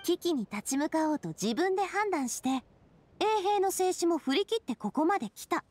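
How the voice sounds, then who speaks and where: High-voiced, confident young female ruler. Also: expressive, normal speed, darkish tone, no echo. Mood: encouraging. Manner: casual, frank, assured speech. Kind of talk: Japanese video game voice acting.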